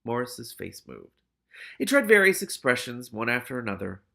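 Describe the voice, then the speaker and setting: A man's voice: mid-range voice, very animated, moderate pace, gravelly, pushed and bright. A man narrating a story expressively as a reader and adding his own candid commentary.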